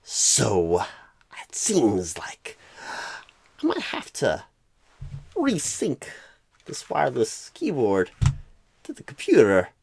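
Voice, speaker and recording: A man voicing a clueless, flustered job recruiter in an ASMR roleplay, rambling with odd pauses mid-sentence. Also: medium pitch, huge pitch swings, vocal fry, darkish tone, a little hiss or hum, heavily acted. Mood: bumbling, apologetic. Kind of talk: ASMR roleplay, comic improvised monologue.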